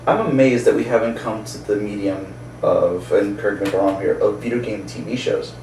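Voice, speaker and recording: A man's voice: fairly low pitch, fairly quick, dark tone. Casual male co-host in an echoey room. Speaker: co-host of a video channel. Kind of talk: casual chat. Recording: roomy echo, distant mic, faint noise.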